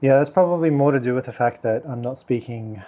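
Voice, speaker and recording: A man hosting a casual software tutorial, speaking quietly and thinking aloud with many fillers as he works. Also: medium pitch, brisk. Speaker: video tutorial host. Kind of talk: casual screen-recording tutorial.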